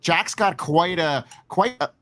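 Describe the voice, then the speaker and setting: A man's voice: fairly high pitch, takes it slowly, fairly bright tone. A man with a Canadian accent, co-hosting a casual podcast in an easygoing, friendly way. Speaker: podcast co-host.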